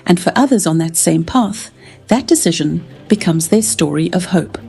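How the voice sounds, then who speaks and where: A woman's voice: lowish voice, moderate pace, vocal fry, breathy edge, faint music behind. A woman reading polished, scripted documentary narration. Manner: even, polished voice-over reading.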